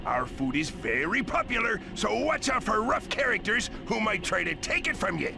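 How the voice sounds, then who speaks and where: An older, blustery crab restaurant boss for a cartoon game. The voice is high and gravelly and leaps widely in pitch, with a hearty, bossy sailor manner. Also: male voice, big pitch swings, normal speed, over music, heavily acted. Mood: bossy, brisk. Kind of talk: cartoon video game acting.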